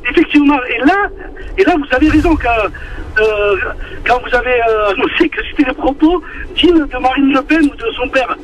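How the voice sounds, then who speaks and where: A man with a southern French accent calling into a radio show, speaking earnestly with many halting restarts over a muffled phone line. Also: very high-pitched, expressive, a little hiss or hum. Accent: southern French, Marseille-like. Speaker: caller. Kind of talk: phone-in opinion on air. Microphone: telephone.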